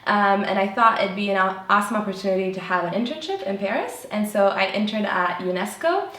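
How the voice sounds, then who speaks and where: A woman's voice: mid-range voice, fairly quick, fairly bright tone. Young woman chatting in echoey room. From Belgrade, Serbia. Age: 20s. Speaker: interview guest, university student. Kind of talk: casual conversational explanation. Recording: roomy, echoey sound.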